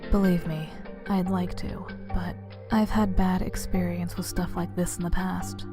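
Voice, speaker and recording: A woman's voice: on the low side, little pitch movement, a little slow, music in the background, natural voice. A woman playing an aloof, guarded young soldier in an audio drama, with a cool, dry delivery. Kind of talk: fan audio drama acting.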